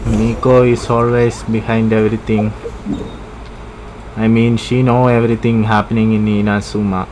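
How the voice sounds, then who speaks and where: A man giving casual gameplay commentary as a streamer, musing haltingly and repeating his words. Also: fairly low pitch, flat delivery, darkish tone, a little hiss or hum, poor audio. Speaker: game streamer.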